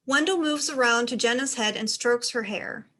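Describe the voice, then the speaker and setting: Higher-voiced woman narrating stage directions. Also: moderate pace, pushed and bright. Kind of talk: scripted narration.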